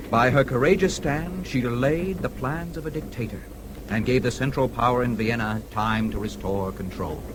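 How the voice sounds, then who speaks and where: A man's voice: medium pitch, lightly acted. An actor playing a jaunty, affectionate newspaperman in a radio drama. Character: breezy, affectionate North American newspaperman. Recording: radio drama with clear vehicle-like background noise.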